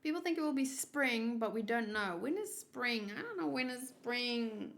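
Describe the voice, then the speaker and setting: High-voiced woman chatting casually on stream. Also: expressive, moderate pace, creaky, fairly bright tone. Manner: rambling, thinking aloud, often repeating herself. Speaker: streamer hosting a manga read-along. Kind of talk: casual livestream chat with viewers.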